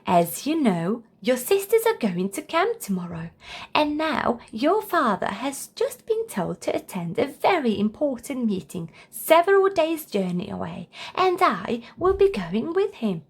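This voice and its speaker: Woman as a high-voiced mother giraffe. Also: big pitch swings, unhurried, slightly rough, full character voice. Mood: caring, firm. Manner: explaining, maternal. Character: mother giraffe, grown female, caring but firm.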